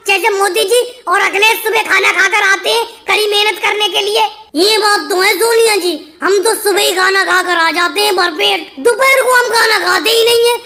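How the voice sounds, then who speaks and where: A very high, bright cartoon voice acted out by a performer as a squabbling little man. He bickers and whines emphatically, like a character in a comedy animation. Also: exaggerated voice. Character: pitched-up cartoon caricature of a squabbling male politician schoolboy or labourer. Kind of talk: comic cartoon dialogue in Hindi.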